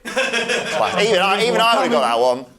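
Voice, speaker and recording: A woman hosting a playful game-show quiz, animated and theatrical in her delivery. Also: mid-range voice, normal speed, creaky, crowd noise. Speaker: quiz host and quote reader.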